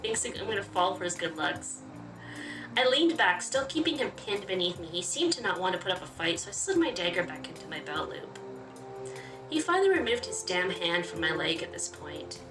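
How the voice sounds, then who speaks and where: A woman's voice: fairly low pitch, big pitch swings, moderate pace. Chatty woman playing a game, roomy sound. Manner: chatty, reactive. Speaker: game player reading aloud. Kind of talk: let's play commentary with expressive reading of story text. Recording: roomy, distant, music behind. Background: game music.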